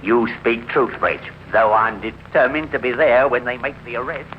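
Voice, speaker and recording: An actor playing a suspicious, determined gentleman, formal and probing, in a 1950s radio crime drama. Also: medium pitch, normal speed, lightly acted. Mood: resolute. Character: suspicious, determined gentleman investigating a friend's disappearance. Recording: dull, noisy old radio recording.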